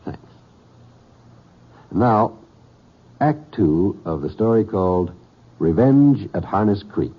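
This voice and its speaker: A man's voice: fairly low pitch, animated, slow, long pauses. An American man announcing a 1950s radio drama and addressing listeners as its presenter. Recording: muffled old radio recording.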